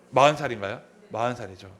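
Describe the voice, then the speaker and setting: A man's voice: mid-range voice, a bit bright. A man in his thirties preaching a sermon as a pastor, asking the congregation questions and repeating key phrases. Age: 30s. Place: church sanctuary.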